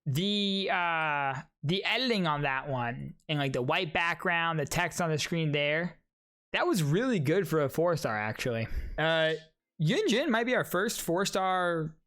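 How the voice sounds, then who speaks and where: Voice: medium pitch, lively intonation, unhurried, fairly bright tone. A video host giving casual, enthusiastic fan commentary while reacting to trailers. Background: music from the trailers.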